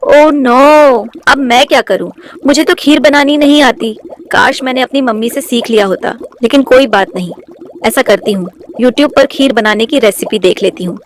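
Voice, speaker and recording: A woman playing an earnest, put-upon young daughter-in-law in a cartoon, speaking in a plaintive, expressive way. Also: on the high side, moderate pace, a little hiss or hum, natural voice. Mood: fretful. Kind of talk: Hindi moral-story cartoon acting.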